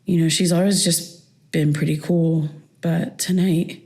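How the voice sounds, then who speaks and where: A wounded, lower-voiced daughter in her thirties. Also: fairly even intonation, unhurried, dark tone. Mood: confiding, subdued. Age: 30s. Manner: conversational, hesitant, informal. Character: wounded thirty-three-year-old daughter, insistent and raw. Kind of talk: naturalistic audio drama acting.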